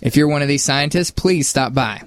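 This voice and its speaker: A man's voice: on the high side, moderate pace. A man co-hosting a radio show, delivering mock news and banter in a playful, dry joking way. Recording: music playing behind the voice. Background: music.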